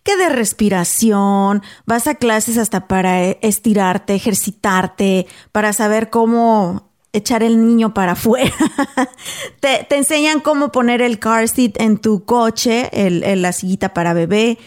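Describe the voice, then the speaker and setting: A woman's voice: on the high side, unhurried, darkish tone. A woman from Mexico hosting a casual podcast chat, earnest and conversational. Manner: earnest, conversational, laughs easily. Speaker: podcast host.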